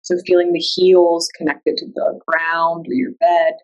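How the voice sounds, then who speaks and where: A woman guiding a meditation in a calm, soothing, unhurried way, leaving long pauses between instructions. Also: lowish voice, muffled recording. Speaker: yoga nidra meditation guide. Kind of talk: guided meditation.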